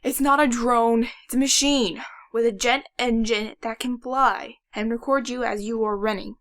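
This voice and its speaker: A woman playing a calm, dry-witted young male inventor in an audio drama. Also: a little high, normal speed. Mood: exasperated, patient.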